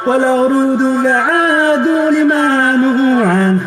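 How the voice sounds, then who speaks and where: A man reciting in a slow, drawn-out melodic chant, played back from a recording. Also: extremely high pitch, over loud music.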